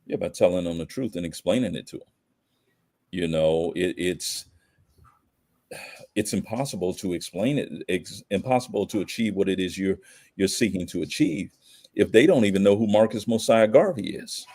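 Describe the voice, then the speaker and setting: A man's voice: low voice, normal speed, a little mellow. An American man giving opinionated commentary in conversation as a podcast guest, emphatic and slangy, often posing rhetorical questions.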